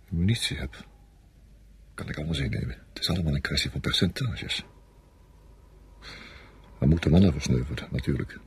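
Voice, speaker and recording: An actor playing a confident, coldly calculating senior French army officer in a radio play, speaking with matter-of-fact authority. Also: male voice, rumbling low, a little mellow, breathy edge, full character voice. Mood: coolly calculating. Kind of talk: Dutch radio play acting.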